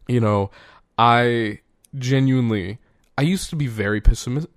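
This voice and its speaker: A man's voice: mid-range voice, dry recording. Guy in his 20s just rambling on his podcast, cusses a lot, laughs at himself, dry close sound. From United States. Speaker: podcast host. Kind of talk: casual solo podcast monologue.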